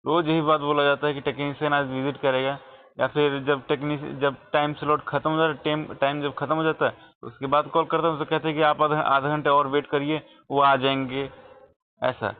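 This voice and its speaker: A tinny phone recording carries the fairly high voice of an Indian man. He is a customer making a complaint. He presses his point insistently and repetitively, sounding aggrieved. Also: level tone, a little fast, slight background noise. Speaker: customer calling support with a complaint.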